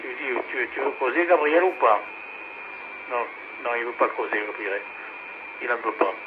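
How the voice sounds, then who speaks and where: A man's voice: medium pitch, lively intonation, moderate pace. Tinny, noisy radio voice, rambling man. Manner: rambling, repeats himself. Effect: two-way radio. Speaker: amateur radio operator. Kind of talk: casual radio chat.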